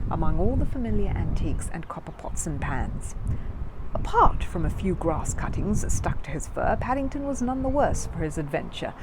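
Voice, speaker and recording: A woman narrating a children's storybook in an expressive, scripted storytelling style. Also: lowish voice, background noise. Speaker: storyteller reading a children's book aloud.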